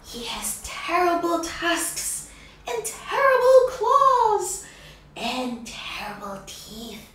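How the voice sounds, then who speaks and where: A woman's voice: extremely high pitch, very animated, very slow, fairly bright tone, voice pushed up, exaggerated voice. A woman voicing a small, clever mouse who is polite and bold, in an echoey room from a distant mic. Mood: cheeky.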